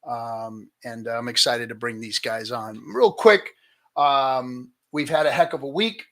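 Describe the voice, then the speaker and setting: A man's voice: mid-range voice, a little slow, a bit bright. A man hosting a casual livestream hangout, talking informally as the host.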